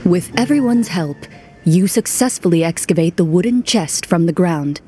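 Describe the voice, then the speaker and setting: A woman playing a composed, formal young huntress who narrates as game master in a video game, with measured storytelling. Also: on the low side, animated, dark tone, close to an everyday voice. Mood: dramatic. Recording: music behind the voice.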